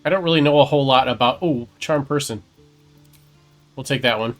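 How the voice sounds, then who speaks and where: Guy doing chill playthrough commentary, medium pitched voice, faint music behind. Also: fairly quick. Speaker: gaming video host. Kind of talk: casual game playthrough commentary, reading choices aloud. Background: game music.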